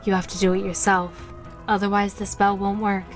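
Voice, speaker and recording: A woman playing a gentle, reassuring young witch in an audio drama, soothing and coaxing. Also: mid-range voice, level tone, moderate pace, lightly acted. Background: music.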